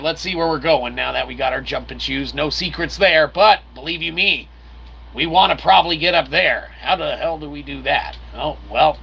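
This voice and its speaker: Male game commentator, fairly high-pitched with heavy vocal fry, joking and mock-formal. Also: sharp tone, rough recording. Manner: wordy, mock-formal phrasing with playful sound effects. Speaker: host of a gameplay video channel. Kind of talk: casual game commentary with running jokes.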